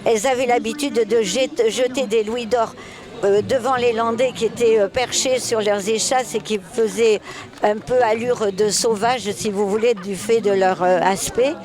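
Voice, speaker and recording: A man's voice: sits high, steady tone, moderate pace. A man explaining the history of a traditional contest in an interview, with frequent hesitations. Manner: hesitant, many fillers. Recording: outdoor crowd noise. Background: crowd.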